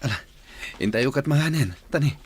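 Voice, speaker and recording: A man's voice: mid-range voice, lively intonation, fairly quick, lightly acted. A man playing an easygoing, affectionate but evasive husband and father in an Ilocano radio drama.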